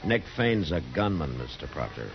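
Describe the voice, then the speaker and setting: An actor playing a weary, stern Western marshal in a 1950s radio drama, speaking in a terse, grave, measured way. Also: male voice, a bass voice, very animated, creaky, faint music behind, heavily acted. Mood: stern, steady. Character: weary, stern frontier town marshal, grown man. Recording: dull lo-fi 1950s radio recording.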